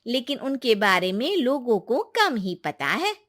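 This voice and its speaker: A kind, secretive village woman acted by a woman in a cartoon folk tale. Her voice is high and bright, swinging widely in melody as she reassures in homely, colloquial words. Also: very animated, measured pace. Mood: guarded. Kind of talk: cartoon moral-story acting.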